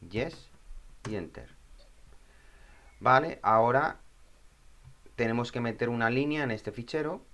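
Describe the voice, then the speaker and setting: A man with a slightly low voice presents a software tutorial in an informal way. He goes step by step, thinking aloud as he works. He often drops in short questions to check that the listener is following.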